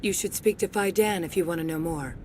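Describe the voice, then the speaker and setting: An actress playing a jaded, resentful security officer in a video game, terse and sardonic. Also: female voice, fairly low pitch, brisk, darkish tone, slight background noise, natural voice. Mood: guarded, jaded.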